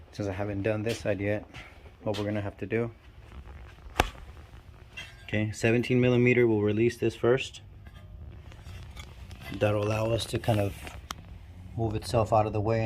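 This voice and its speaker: A man's voice: lowish voice, level tone, darkish tone, a little hiss or hum. Stuffy-nosed man talking through car repairs. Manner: talks while working, halting and self-deprecating. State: stuffy, nasal from a cold. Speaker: channel host. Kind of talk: casual DIY garage walkthrough. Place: home garage.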